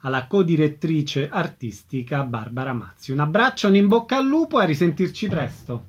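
High-pitched male voice, radio interviewer, slightly dull audio. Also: expressive, fairly quick, slightly rough.

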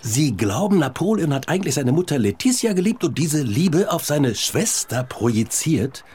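An actor in a radio play playing a sceptical, patronising nineteenth-century doctor, ironic and incredulous. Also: male voice, a little high, sing-song, normal speed, darkish tone, slightly rough, heavily acted. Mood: indulgent, incredulous.

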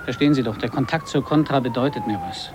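An actor playing a defiant, bitter gag writer who argues emphatically in a radio drama. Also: male voice, on the high side, huge pitch swings, fast talker, background noise. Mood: pleading, defensive. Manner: emphatic, argumentative. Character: defiant, bitter male gag writer, agitated and self-justifying. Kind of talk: 1980s German radio drama acting.

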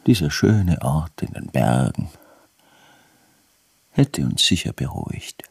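An actor playing a bitter, obsessive man brooding haltingly over his failed life's work in a radio drama monologue, often dropping toward a whisper. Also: very deep voice, big pitch swings, creaky, breathy edge, dry recording, heavily acted. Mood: regretful, despairing.

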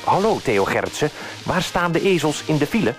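A man playing a brisk, cheeky radio presenter in a comic radio play. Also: a little high, sing-song, faint music behind, low-quality recording. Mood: brisk, smug.

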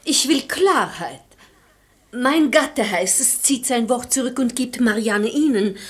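A woman's voice: fairly high pitch, lively intonation, slow. An actress playing a poised, tactful married lady in a verse radio play, speaking with genteel theatrical politeness. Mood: probing. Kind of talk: German radio play acting in rhymed verse.

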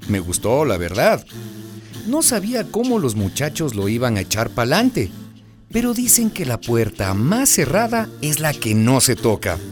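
Folksy, slow-talking neighbour. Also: male voice, medium pitch, huge pitch swings, music in the background. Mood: fond, confiding. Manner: folksy, wry storyteller. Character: folksy neighbour, father of grown son, narrating. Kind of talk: radio drama narration.

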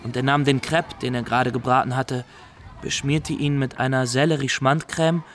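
A man's voice: medium pitch, natural voice. An actor playing an earnest young Somali graduate turned pirate, telling his story formally and directly to the listener in a radio play.

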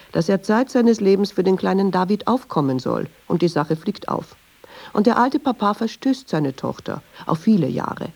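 A woman's voice: fairly low pitch, gravelly, a little mellow, breathy edge, heavily acted. An actress playing a candid, uneasy middle-aged woman in a radio drama, speaking reflectively in short, observant clauses. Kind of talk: radio drama dialogue and inner monologue.

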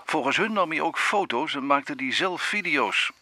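An actor playing a dutiful police desk sergeant in a radio drama, reporting in a matter-of-fact, slightly halting way. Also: male voice, on the high side, lively intonation, pushed and bright, full character voice. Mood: dutiful, factual.

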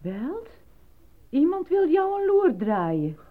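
An actress in a radio play voicing a worried, sceptical wife who is disbelieving and insistent. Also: female voice, sits high, big pitch swings, slow pace, heavily acted. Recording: muffled lo-fi old radio recording.

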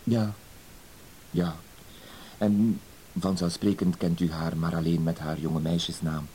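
An actor playing a meek, apologetic deceived husband in a radio play, stammering politely and putting himself down. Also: male voice, low voice, level tone, takes it slowly, dark tone, poor audio. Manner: hesitant, stammering, polite, self-deprecating. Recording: hissy old radio play recording.

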